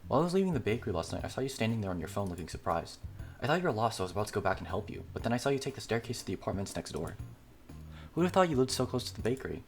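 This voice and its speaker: Man voicing an easygoing, expressive anime schoolgirl. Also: lowish voice, racing. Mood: friendly. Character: easygoing, gently teasing young anime girl student. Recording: music behind the voice.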